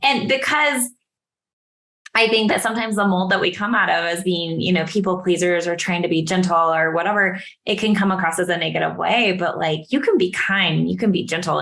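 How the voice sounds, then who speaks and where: A woman co-hosting a podcast in casual interview chat, animated and acting out her own reactions as she tells stories. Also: lowish voice, creaky. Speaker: podcast co-host.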